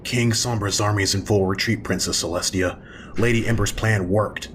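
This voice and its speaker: Guy doing gruff war-worn pony soldier for audio drama, real low, formal but blunt, bit of rumble behind. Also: fairly even intonation, normal speed, natural voice. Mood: formal, reporting. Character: gruff male pony mercenary soldier, battle-weary and guilt-ridden. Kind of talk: fan audio drama acting.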